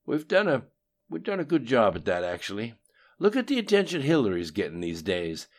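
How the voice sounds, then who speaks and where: A man voicing a brash, boastful older male schemer who chuckles and talks in quick, loud bursts with a raspy edge. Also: mid-range voice, wildly swooping pitch, pitched above the performer's own voice. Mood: smug.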